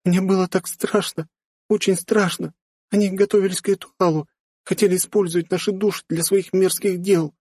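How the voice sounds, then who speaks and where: A man's voice: sits high, level tone, moderate pace, a little mellow, slightly rough, dry recording, clean studio sound, pitched above the performer's own voice. A man voicing a count's timid, girlish young daughter in a fantasy audiobook. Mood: frightened.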